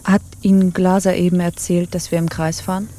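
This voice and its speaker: An actress playing an imperious, provocative pirate captain in a radio drama, commanding and teasing in a deliberate manner. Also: female voice, lowish voice, little pitch movement, dark tone, slight background noise, natural voice. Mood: probing. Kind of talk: theatrical radio drama acting.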